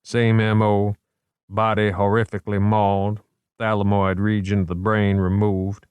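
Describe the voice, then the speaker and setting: A man voicing a genteel, erudite male investigator in a measured, formal, wry manner, in an audiobook. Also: fairly low pitch, flat delivery, darkish tone, clear, resonant tone, no room sound, close to an everyday voice. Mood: calm, wry.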